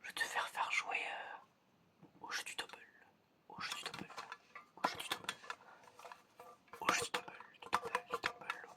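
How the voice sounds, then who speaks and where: A person whispering an ASMR roleplay as an examiner, in a breathy, chatty, playful and hesitant way. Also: moderate pace, pauses often, bright, forceful tone. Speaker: ASMR creator playing an examiner giving sense tests.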